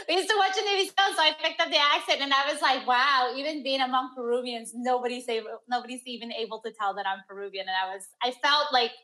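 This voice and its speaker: A woman's voice: high voice, fairly quick, pushed and bright. A woman from Peru talking as a podcast guest, reflective and searching, with many "like" fillers. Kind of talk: candid interview conversation.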